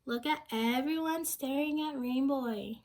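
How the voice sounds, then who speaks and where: A young woman with a high voice reads a children's picture book aloud. She takes it very slowly, stopping to put questions to her young listeners and explain in simple words. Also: a little mellow. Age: young adult.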